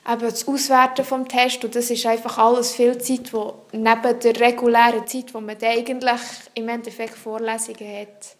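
Young female student, high voice, French; earnest and explanatory, searching for words in an interview. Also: level tone, normal speed, hardly any pauses. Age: young adult. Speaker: psychology student. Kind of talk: answering interview questions.

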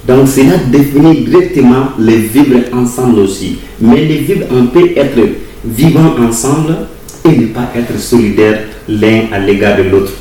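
Male interviewee, slow measured explainer, heard from afar in a reverberant space. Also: medium pitch, mellow. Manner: slow, deliberate, repeats words while explaining. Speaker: interviewee, university teacher of German and English. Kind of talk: formal interview answers. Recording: roomy distant recording with faint ambient noise.

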